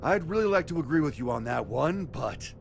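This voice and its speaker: An actor playing a gruff, cynical, blunt fighter with a gravelly voice in an anime game cutscene. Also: male voice, on the high side, sing-song, a little fast, slight background noise. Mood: wary, dry. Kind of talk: English-dubbed anime game cutscene acting.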